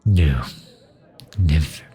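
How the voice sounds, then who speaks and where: A man playing a sardonic, loyal fantasy mage advisor in an intimate ASMR roleplay, dry and grumbling, with a husky, breathy delivery. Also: rumbling low, extremely slow, heavily acted. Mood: weary, reluctant. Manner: dry, grumbling, sharp-tongued, with hesitant breaks.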